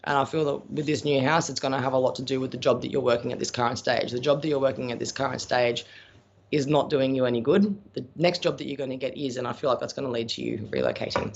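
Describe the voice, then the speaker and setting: A man's voice: medium pitch, gravelly. An Australian man giving psychic readings on a live stream, answering viewer questions in a blunt, chatty way and calling viewers pet names. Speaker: psychic medium. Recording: dull lo-fi stream audio.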